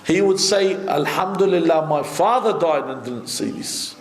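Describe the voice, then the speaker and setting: A middle-aged man giving a conversational sermon from a seated position, speaking into a stand microphone with lively gestures and asides. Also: fairly high pitch, moderate pace. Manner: animated, conversational preaching with many asides, gesturing. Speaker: sheikh giving a majlis lecture. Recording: echoey hall.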